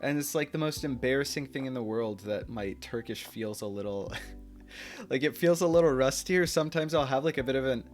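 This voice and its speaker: A man's voice: mid-range voice, brisk, faint music behind. A young man from the United States hosting a live stream, chatting casually with viewers, laughing often and dropping into Turkish now and then. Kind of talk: casual chat with chat readers.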